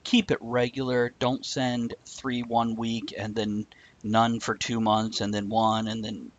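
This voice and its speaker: A man's voice: medium pitch, little pitch movement, a little slow, no echo, dull audio. A man hosting a live-stream talk show, chatting casually and stumbling over words now and then. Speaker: show host.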